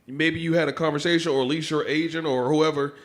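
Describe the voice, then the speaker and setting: Chill guy on a podcast, bit high voice, agrees a lot and laughs easy. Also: fairly even intonation, fast talker. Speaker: podcast guest host. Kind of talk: casual podcast chat.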